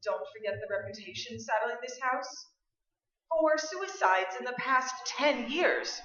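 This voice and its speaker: A woman's voice: a little high, animated, a little slow, a bit bright. A woman playing an eager, talkative real estate agent with persuasive, breezy sales patter in a staged old-time radio play. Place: live stage performance. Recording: dull, echoey live stage recording.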